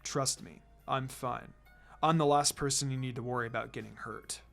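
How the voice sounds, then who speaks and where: A narrator voicing a proud, brooding young ninja boy with a low, clipped, grudging delivery in a fan fiction story. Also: fairly even intonation, normal speed, vocal fry, a bit bright, faint music behind. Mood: defiant. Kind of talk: acted fan fiction dialogue.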